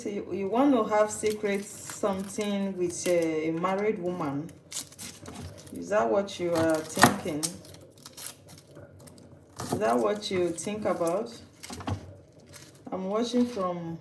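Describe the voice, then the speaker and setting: Slow-talking Nigerian woman in an echoey kitchen. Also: medium pitch, creaky, a little mellow. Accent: Nigerian English. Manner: chatty and teasing, with frequent restarts. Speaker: live-stream cooking host. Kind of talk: casual live-stream chat, reading out viewer comments. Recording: echoey room with background noise.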